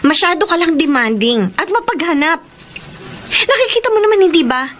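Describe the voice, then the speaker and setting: A young Filipina wife who studies and feels put upon. Her voice is high, defensive and exasperated in a radio soap style, over faint background noise. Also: very animated, fairly quick, fairly bright tone, exaggerated voice. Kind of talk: Filipino radio drama acting.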